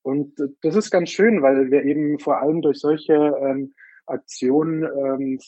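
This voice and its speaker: A man from southern Germany answering interview questions informally, halting often as he searches for words. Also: fairly high pitch, steady tone, dark tone, no room sound. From Munich, Germany. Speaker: interview guest, newsletter co-founder. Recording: dry, slightly dull lo-fi sound.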